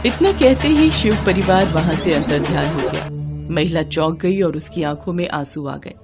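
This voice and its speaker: A woman narrating a devotional children's story in a slow, even storytelling manner. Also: low voice. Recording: music playing behind.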